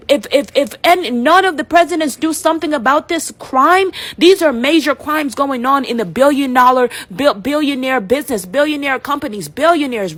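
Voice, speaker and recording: A woman's voice: sits high, slightly rough. A woman giving an impassioned, emphatic rant to camera, repeating herself and restarting often. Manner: emphatic, repetitive, many restarts and fillers.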